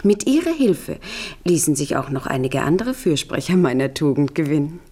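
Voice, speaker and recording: A young woman of sweet deference and cool ambition. Her lowish voice moves in lively swings, polite and insinuating. The style is radio play acting. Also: normal speed, husky, exaggerated voice. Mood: confident, insinuating. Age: young adult.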